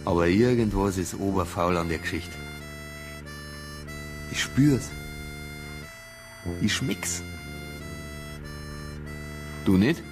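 An actor playing a dogged, suspicious police investigator in a radio crime drama, brooding and colloquial with a Bavarian accent. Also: male voice, low-pitched, lively intonation, slow, long pauses. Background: background music.

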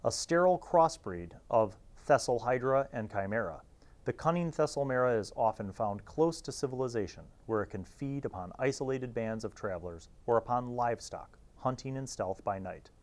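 A man, hosting a casual review in an informal, halting way and sometimes reading aloud. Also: mid-range voice, lively intonation, darkish tone. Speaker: host reviewing old gaming magazines.

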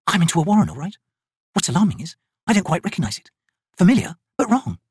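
Man voicing a high-pitched, wary wizard. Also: fast talker, husky, dry recording, studio quality, exaggerated voice. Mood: wry musing. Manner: higher-pitched, musing, expressive. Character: wary, wry male wizard, quick-witted.

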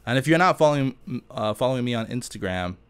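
A man's voice: mid-range voice, lively intonation, quick pace, clear, resonant tone. A man giving casual, chatty let's play commentary in an informal, self-deprecating and jokey way. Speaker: gaming YouTuber host.

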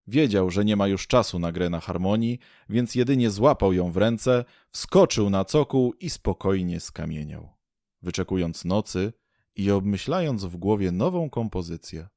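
A male narrator tells a scripted story for a radio play. His voice is low, and the recording is close and dry with no room sound. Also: darkish tone, no echo.

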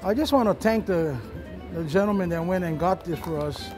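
A man's voice: sits high, normal speed. A middle-aged man hosting as chef, giving a casual, encouraging cooking demonstration in a kitchen. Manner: instructing, encouraging. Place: studio show kitchen. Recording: music behind, sizzling pan.

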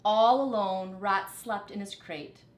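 A middle-aged woman reading a children's picture book aloud deliberately and expressively in a living room. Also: medium pitch, reverberant. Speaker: storyteller, teacher. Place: living room, indoors on a couch.

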